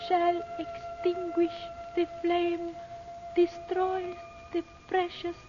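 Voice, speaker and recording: An actress playing an ancient Egyptian princess who guards a sacred flame, halting and solemn in old radio drama style. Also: female voice, very high-pitched, very animated, background noise, heavily acted. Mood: solemn trance. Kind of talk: old-time radio serial acting. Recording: dull old radio recording, loud music.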